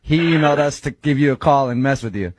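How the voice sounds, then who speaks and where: A man's voice: mid-range voice, fast, background noise. An American man, a radio host making a prank call, talking casually as if he were a customer service agent. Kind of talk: casual phone chat, posing as customer service.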